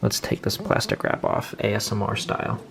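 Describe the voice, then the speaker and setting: A man's voice: fairly low pitch, level tone, brisk, vocal fry. A young man casually hosting an unboxing video, informal and prone to swearing. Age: young adult. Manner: informal, crude-joking, swearing. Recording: noisy room recording with clicks.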